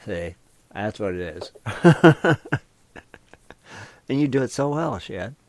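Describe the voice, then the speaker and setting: A man's voice: fairly low pitch, big pitch swings, slow pace, no echo. A man hosting a casual radio chat show, upbeat and agreeable, laughing often.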